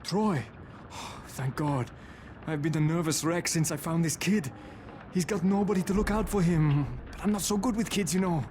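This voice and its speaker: A man's voice: on the high side, normal speed, a little mellow, a little hiss or hum, close to an everyday voice. A man playing a nervous, anxious museum curator in a video game. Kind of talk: video game cutscene acting.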